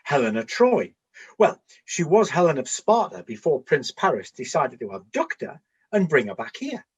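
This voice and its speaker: An actor playing a bored, vain Trojan soldier who grumbles wistfully in a comic radio play. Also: male voice, fairly high pitch, huge pitch swings, normal speed, husky, dull audio, full character voice. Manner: grumbling, wistful, droll bantering. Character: bored, vain, plain-looking male Trojan soldier on night watch.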